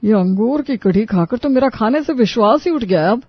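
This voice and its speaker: An exasperated complainer, played by a woman grumbles bluntly and dramatically in a high voice. The comic family tale has a dry, slightly dull recording. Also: lively intonation, moderate pace, slightly rough, dry recording. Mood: fed up.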